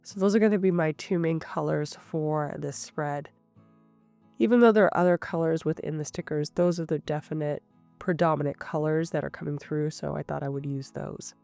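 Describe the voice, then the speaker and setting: A woman with a cold, sounding nasal and a little rough, calmly narrating her craft session as the host of her channel. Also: low voice, normal speed, no echo. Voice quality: slightly rough. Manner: calm, explanatory, thinking aloud. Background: soft guitar music.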